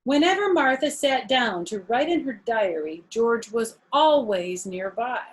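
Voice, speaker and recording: Slow-paced woman reading to children. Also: fairly high pitch, animated. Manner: explains and questions for young listeners. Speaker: children's storyteller. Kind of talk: read-aloud with casual asides to children.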